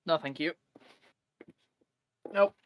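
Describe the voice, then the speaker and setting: A man's voice: on the high side, extremely slow, slight background noise. Young man, plain-spoken. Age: teens. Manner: plain-spoken, calls people mate. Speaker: stream guest. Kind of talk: casual gaming chat.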